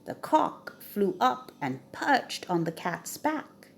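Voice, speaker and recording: A woman reading a children's story aloud with expressive, dramatic emphasis. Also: mid-range voice, takes it slowly, gravelly.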